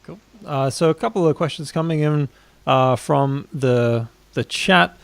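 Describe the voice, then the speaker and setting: A man hosting a live stream, asking loose, relaxed questions. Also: on the high side, measured pace, vocal fry, dry recording. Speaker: stream host. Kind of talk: casual live-stream interview.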